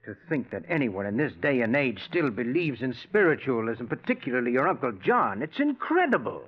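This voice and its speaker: Animated, blustery skeptical father. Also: mid-range voice, fairly quick. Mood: exasperated. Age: middle-aged. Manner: blustery, emphatic. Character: stubborn skeptical financier, father of grown daughter. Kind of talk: 1940s radio acting. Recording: dull old radio recording.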